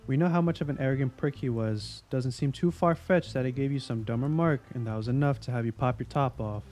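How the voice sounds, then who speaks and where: Audio drama: veteran male detective, played by a man, warm mellow tone, dry wit, casual and world-weary, light music underneath. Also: medium pitch, brisk, lightly acted. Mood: sardonic, needling. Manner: wry, needling, casual. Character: jaded, sardonic veteran male police investigator.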